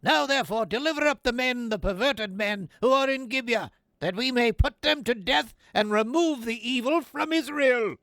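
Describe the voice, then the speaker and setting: A man's voice: extremely high pitch, moderate pace, fairly bright tone, dry recording, studio quality. A man playing an outraged, urgent ancient Israelite warrior in a declamatory radio theatre Bible drama. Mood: stern, indignant.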